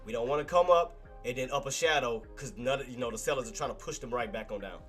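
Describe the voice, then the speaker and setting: A man's voice: medium pitch, fast talker, fairly bright tone, faint music behind. A man with a congested nose hosting a live trading stream, casually walking through chart levels and repeating key points to his chat. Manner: casual, repetitive, talks to chat as "boss". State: nasal congestion. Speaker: trading livestream host. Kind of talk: live stock chart commentary.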